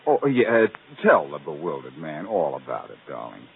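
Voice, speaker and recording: An actor playing a wry, confident male detective, wisecracking in brisk 1940s radio style. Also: rumbling low, wildly swooping pitch, creaky, slightly rough, full character voice. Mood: mock-sweet. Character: wry, quick-witted amateur detective, confident man. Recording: tinny, noisy old radio recording.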